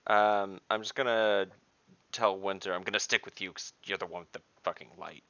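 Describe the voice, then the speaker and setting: Man, tabletop player, heavy vocal fry, casual halting talk, close dry slightly muffled voice-chat audio. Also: deep voice, steady tone, a bit bright, dry recording. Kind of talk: casual online game chat.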